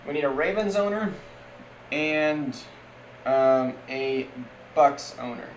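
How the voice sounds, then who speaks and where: Young guy streamer doing football play-by-play, kinda high voice, echoey room w faint hiss. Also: animated, slow pace. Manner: casual, jokey play-by-play that spikes loud on big plays. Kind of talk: live-stream game commentary.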